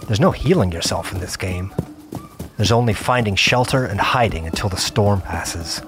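Man acting as weary veteran gamer, low voice, intense measured storytelling, audio drama narration, music bed. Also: lightly acted. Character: seasoned, weary male gamer narrating a survival adventure. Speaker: narrator and lead character. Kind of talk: scripted first-person audio drama narration with acted dialogue.

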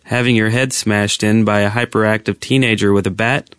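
Low-voiced man, dry close sound. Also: steady tone, fairly quick, fairly bright tone, clear, resonant tone, dry recording. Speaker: podcast co-host. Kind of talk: casual group chat.

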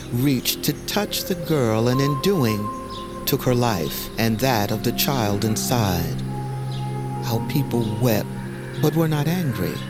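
A man narrating a dark fantasy legend as a dramatic, solemn storyteller with poetic phrasing. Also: mid-range voice. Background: music.

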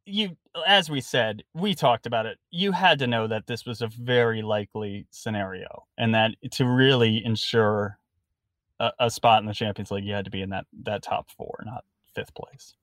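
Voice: medium pitch, normal speed, fairly bright tone, no room sound, studio quality. A podcast panelist arguing opinions casually about sports.

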